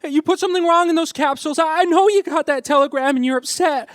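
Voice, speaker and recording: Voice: sits high, quick pace, no echo, clean studio sound, lightly acted. An actor playing an earnest, plucky twelve-year-old boy with an eager, boyish manner in a radio play. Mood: anxious, pleading. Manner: boyish, eager, stammers when anxious. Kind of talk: live radio play acting.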